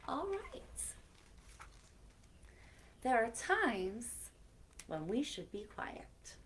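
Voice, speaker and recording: A woman's voice: on the high side, wildly swooping pitch, drawn-out words, fairly bright tone. A woman hosting a children's story time, reading aloud expressively and chatting directly to her young listeners. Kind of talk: animated read-aloud for children with chatty asides.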